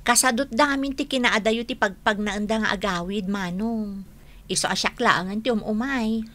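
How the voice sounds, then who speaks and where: A woman's voice: a little high, normal speed, fairly bright tone, natural voice. A woman playing a devoted, polite young daughter in a radio drama, with an Ilocano accent. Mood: affectionate.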